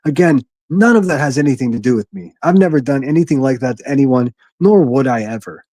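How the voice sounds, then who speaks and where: Gruff-voiced man on a solo livestream. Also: mid-range voice, animated, brisk, mellow, no echo, clean studio sound. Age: adult, a military veteran. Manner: blunt, rambling, frequent restarts. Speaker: livestream host. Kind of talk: solo livestream commentary reading chat. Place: quiet living room at home.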